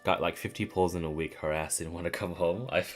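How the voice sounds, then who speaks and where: A game streamer chatting casually on a livestream in a slangy, irreverent way, swearing freely. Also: deep voice. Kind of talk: casual livestream gaming chat.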